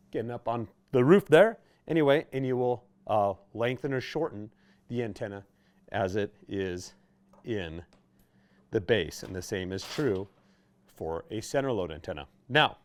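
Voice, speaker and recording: A man with an American accent giving an informal, conversational product demonstration as a shop's expert presenter. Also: medium pitch. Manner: conversational, explanatory, checking in with "right?".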